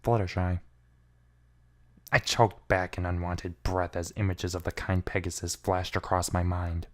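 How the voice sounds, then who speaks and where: A man's voice: low-pitched, little pitch movement, a little slow. A man giving a brooding, theatrical first-person reading of a fan fiction story. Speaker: amateur fan fiction reader.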